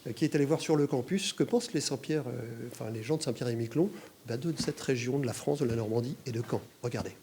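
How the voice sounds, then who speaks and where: A woman hosting a television magazine in the studio, briskly steering her guest along. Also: rumbling low, mellow, slight background noise. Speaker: TV host. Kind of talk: studio presenting.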